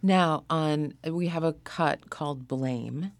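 Creaky-voiced man hosting an interview. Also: a little high, takes it slowly, no echo. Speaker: radio interview host. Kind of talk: conversational interviewing.

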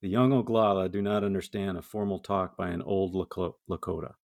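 Deep-voiced man hosting a podcast. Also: steady tone, fairly quick, vocal fry, a little mellow. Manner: conversational, asks questions. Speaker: podcast host. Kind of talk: podcast interview.

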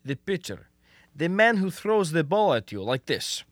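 A man's voice: on the high side, sing-song, unhurried, no room sound, studio quality. A young man acting in a radio comedy as a confident, scheming who coaxes in wordy, stilted foreign phrasing. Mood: eager coaching. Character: scheming, confident Russian male defector posing as an American. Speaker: student actor.

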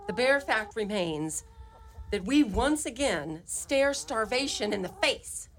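A woman's voice: on the high side, expressive, takes it slowly. A woman playing a poor, guilt-tripping old mother in a radio play, with melodramatic, old-fashioned speech. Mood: reproachful. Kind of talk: live radio play acting. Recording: live studio recording, clearly noisy ambience.

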